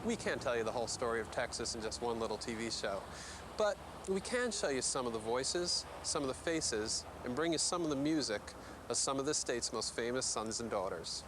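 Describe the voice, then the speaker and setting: Male TV host, mid pitch, polished scripted intro, noisy outdoor background. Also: lively intonation, brisk. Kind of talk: scripted TV presenting.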